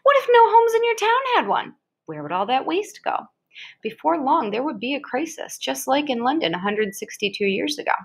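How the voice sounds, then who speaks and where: Woman, American, slightly high voice, reading nonfiction aloud conversationally with brief informal asides. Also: big pitch swings, moderate pace, husky. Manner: conversational, interjects short reactions. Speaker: reader of an article aloud to a child. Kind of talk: read-aloud of an educational article with casual asides.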